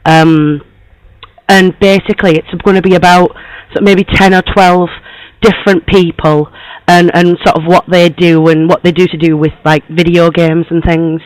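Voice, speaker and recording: Hoarse, high-pitched young woman reporter, played by a man, rambling and filler-heavy, dry sound. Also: little pitch movement, moderate pace, no room sound, close to an everyday voice, poor audio. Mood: friendly, chatty. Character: young woman magazine journalist, chatty and polite. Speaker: prank caller posing as interviewer. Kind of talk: prank phone call.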